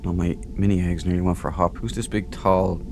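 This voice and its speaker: A man's voice: low-pitched, flat delivery, fairly quick. A man giving casual, playful let's play commentary with chatty, joking asides. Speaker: gaming host. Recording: clear background noise under voice. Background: game ambience.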